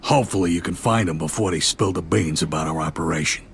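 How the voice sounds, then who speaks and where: Very deep-voiced mob underboss. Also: male voice, quick pace, creaky, slight background noise. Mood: grave, commanding. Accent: American. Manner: matter-of-fact, giving orders. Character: middle-aged mob underboss, gruff and businesslike. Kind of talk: video game cutscene acting.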